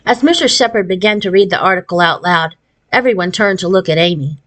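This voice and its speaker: A woman's voice: on the low side, a little fast, fairly bright tone. Woman reading a children's story aloud. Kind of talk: expressive scripted audiobook narration.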